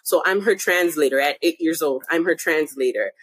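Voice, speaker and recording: A woman's voice: low voice, moderate pace, husky, no room sound. A young woman of Haitian family from the United States, talking earnestly as a podcast guest and searching for words as she goes. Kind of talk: reflective interview conversation.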